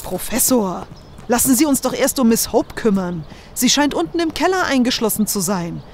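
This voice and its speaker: An actress playing a sharp, suspicious scientist's assistant in a German audio drama, brisk and challenging. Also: female voice, a little high, expressive, slight background noise. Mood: decisive.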